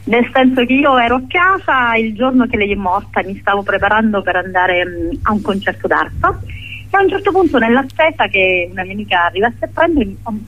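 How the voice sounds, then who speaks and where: A woman's voice: medium pitch, a bit bright. A woman interviewed as a playwright over the telephone, telling her story reflectively. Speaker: playwright, phone-in guest. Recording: tinny narrow-band telephone line.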